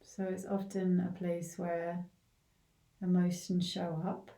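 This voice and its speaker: Woman meditation guide, gentle and mellow, lowish pitch, long pauses, roomy echoing recording, distant from the mic. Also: monotone. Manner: gentle, unhurried, with long pauses between short phrases. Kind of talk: guided body scan meditation.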